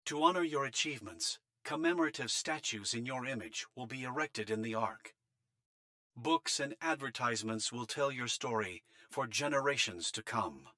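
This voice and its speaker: An actor voicing a cold, smug official in a game cutscene, speaking formally and with condescension. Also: male voice, medium pitch, animated, moderate pace, pushed and bright. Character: cold, smug male official behind a sealed shelter. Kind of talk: scripted game cutscene acting. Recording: music behind the voice. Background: background music.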